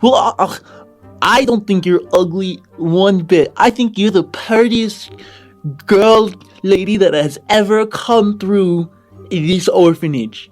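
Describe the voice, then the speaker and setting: Casting: tiny tabletop-game gnome, high-pitched, eager and sweet, halting delivery, music underneath. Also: male voice. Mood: bashful, flattering. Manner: excitable, flattering, halting.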